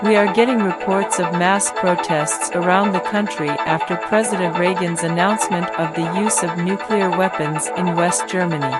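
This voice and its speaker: A woman playing a serious television news announcer, reading a formal, measured 1980s network bulletin. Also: fairly low pitch, close to an everyday voice. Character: serious female TV news announcer reporting breaking news. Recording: loud music under the voice.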